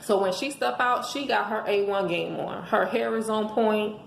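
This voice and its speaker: A hoarse woman giving an emphatic, preachy motivational monologue, speaking as a host handing out relationship advice to listeners. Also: mid-range voice, normal speed. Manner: emphatic, preachy, rhetorical questions. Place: indoors at home. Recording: echoey room with faint background noise.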